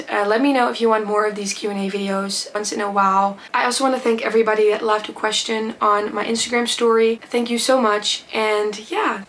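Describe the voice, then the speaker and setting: A woman's voice: a little high, fairly bright tone, slight background noise. Chatty teen girl. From Netherlands or Flanders. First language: Dutch. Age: teens. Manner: chatty, gushing, rambling with fillers. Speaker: book vlogger. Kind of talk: casual Q&A chat to camera.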